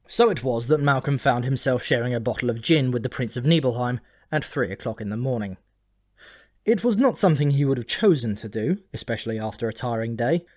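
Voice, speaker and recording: Male audiobook narrator, mid-pitched, reading scripted comic fantasy.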